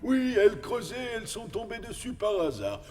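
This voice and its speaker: A man's voice: high-pitched, wildly swooping pitch, moderate pace, a little mellow, faint music behind. A man voicing a courteous, jovial animal envoy with a ceremonious, obliging manner in a French cartoon dub.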